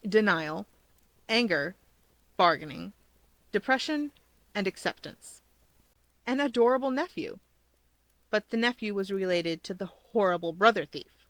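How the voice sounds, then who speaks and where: A woman's voice: mid-range voice, pushed and bright, no echo. A woman reading scripted fiction as a story narrator.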